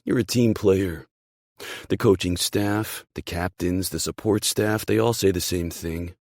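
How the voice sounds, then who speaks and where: A man's voice: low-pitched, unhurried, darkish tone, no room sound, studio quality, voice pushed up. A man voicing a hearty, encouraging male team boss in an emphatic, fatherly way for an audiobook. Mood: hearty, proud.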